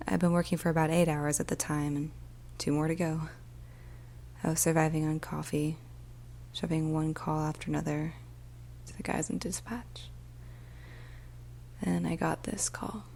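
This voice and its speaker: A woman voicing a weary, troubled police emergency dispatcher in a soft, often whispered ASMR storytelling monologue, speaking slowly and deliberately. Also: sits low, gravelly, slight background noise. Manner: slow, hushed, deliberate, with pauses. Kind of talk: ASMR storytelling, first-person dramatic monologue.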